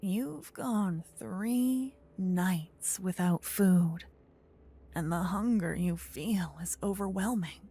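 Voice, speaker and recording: A woman softly narrating a scripted fantasy ASMR story, breathy and often close to a whisper on a binaural mic. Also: medium pitch, slow pace. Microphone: close binaural microphone.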